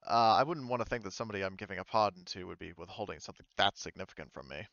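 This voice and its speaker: Man playing a stern female official, low creaky voice. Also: fairly bright tone, dry recording, dull audio. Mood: probing. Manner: formal, measured, veiled menace. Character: stern, composed female marshal official making veiled threats.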